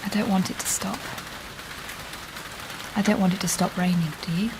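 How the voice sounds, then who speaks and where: A self-conscious woman teacher in a radio play, quick to apologise and second-guess herself, as an actress plays her. Her voice sits at an ordinary middle pitch. A faint hiss of noise runs under the recording. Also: fairly even intonation, natural voice. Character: self-doubting woman teacher, later a patient mother. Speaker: English teacher. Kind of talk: radio drama acting.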